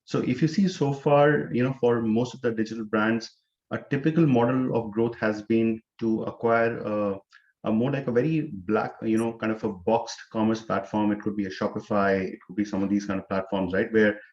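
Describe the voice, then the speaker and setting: A man, a startup co-founder answering interview questions in a measured way, often saying "you know". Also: lowish voice, fairly even intonation, a little mellow, highs cut off. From India.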